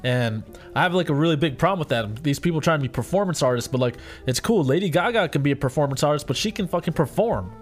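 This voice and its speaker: A man co-hosting a casual chat show with a raspy voice, talking bluntly and swearing freely. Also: fairly high pitch, animated, quick pace, soft background music. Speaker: co-host.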